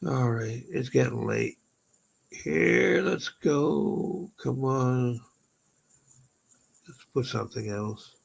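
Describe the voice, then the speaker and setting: Gravelly, husky-voiced man chatting live. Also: medium pitch, drawn-out words, long pauses. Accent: Canadian. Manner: rambling, excitable, exclaims often. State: voice going hoarse. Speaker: live stream host. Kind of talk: casual live stream chat.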